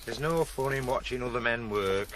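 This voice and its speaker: A man playing a curt, guarded middle-aged working-class father in a radio play, gruff and halting, with a Northern English accent. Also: medium pitch, background noise, natural voice. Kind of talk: 1980s British radio drama acting.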